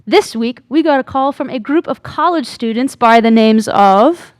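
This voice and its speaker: A woman acting a high-voiced polished segment presenter. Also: normal speed, no room sound, natural voice. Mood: upbeat, intrigued. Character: bright, polished female true-story show presenter. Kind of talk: scripted radio segment narration.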